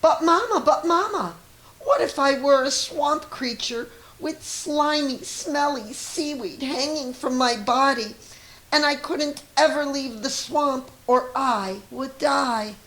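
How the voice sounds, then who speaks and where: Woman putting on a high-pitched, pleading little boy. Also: takes it slowly, fairly bright tone. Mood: eager, questioning. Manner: pleading, emphatic. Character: imaginative little boy pleading with his mama. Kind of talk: storybook character voice. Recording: roomy echo with audible hiss.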